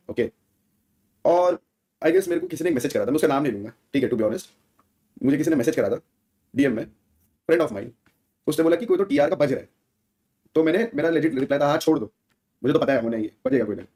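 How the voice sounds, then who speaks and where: A man's voice: fairly high pitch, darkish tone. A man speaking as a gaming streamer in a casual, slangy rant to viewers, often seeking their agreement. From India.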